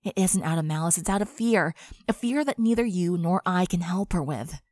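A woman voicing a concerned, firm but caring young friend in a measured, earnest way for a fan fiction drama. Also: fairly low pitch, animated, a little mellow, no room sound, studio quality.

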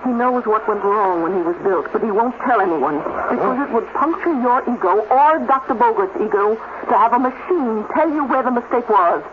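A woman's voice: on the high side, expressive, normal speed, hardly any pauses, close to an everyday voice. A woman playing a proud, bitter robot scientist, intense and sardonic, in a 1950s radio drama. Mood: cool, sardonic. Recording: tinny, very noisy 1950s radio recording.

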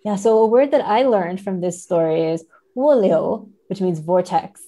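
A woman's voice: medium pitch, moderate pace, dark tone. A woman speaking as an academic guest in a casual podcast interview.

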